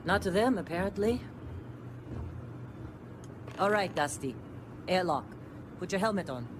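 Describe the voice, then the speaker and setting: A woman's voice: on the low side, expressive, a little slow, background noise. A woman playing a blunt, dry, commanding female mining supervisor in a video game, weary and no-nonsense. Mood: dry, matter-of-fact.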